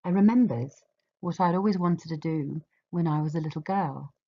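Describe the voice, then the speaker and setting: An English woman reading a scripted personal reflection in a measured, earnest, expressive way. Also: low-pitched, husky. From England. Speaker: author reading her foreword. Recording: slightly dull, muffled audio.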